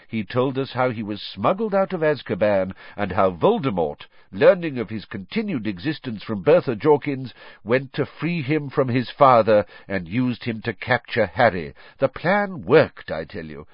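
A man's voice: medium pitch, sing-song, no room sound, voice pushed up. Dumbledore: a man doing a grave old headmaster. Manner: sharp, measured. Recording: dull, dry lo-fi audio.

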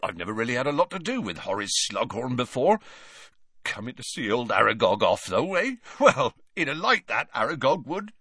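A man voicing a huge, soft-hearted male groundskeeper, tearful and choked up, speaking in a folksy way, raised above his own voice. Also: medium pitch, sing-song, moderate pace, husky, dry recording, clean studio sound, pitched above the performer's own voice, heavily acted.

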